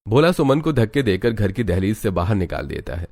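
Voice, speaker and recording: A man with a low voice serves as narrator of a moral family tale. His delivery is scripted, dramatic storytelling. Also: very animated, fairly quick, darkish tone.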